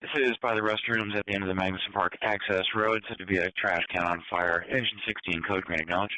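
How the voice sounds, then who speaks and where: A man's voice: sits low, steady tone, fast, sharp tone, poor audio. A man working as a fire dispatcher, reading out calls over emergency radio. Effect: radio transmission.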